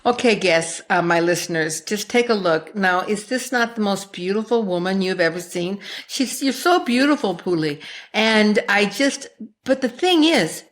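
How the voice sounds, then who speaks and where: Woman, podcast host, conversational interviewing, emphatic, effusive, affectionate, medium pitch. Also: animated, normal speed.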